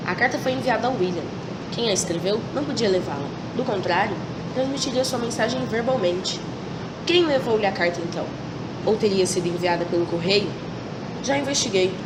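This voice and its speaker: A Brazilian woman voicing a sharp-minded male detective in a student radio drama, reasoning in a measured, deliberate way. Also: medium pitch, lightly acted. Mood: decisive. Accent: Brazilian Portuguese. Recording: roomy room with clear hiss.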